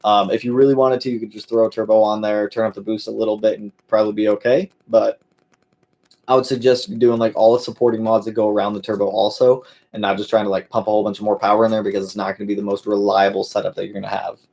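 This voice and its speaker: A man's voice: lowish voice, little pitch movement, fast, darkish tone. A man hosting a casual live-stream Q&A for his car channel, answering viewers in a laid-back way with lots of fillers and friendly call-outs.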